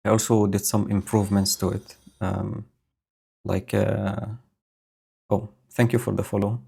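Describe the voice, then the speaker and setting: A man with a low, mellow voice talks through his code for a live stream. He speaks slowly and pauses often, filling the gaps with ums and uhs as he thinks aloud. He is recorded on a home mic with a noise gate. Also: flat delivery, gravelly, breathy edge. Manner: slow, thinking aloud with many fillers. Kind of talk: casual live-coding commentary. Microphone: new home audio setup with noise gate.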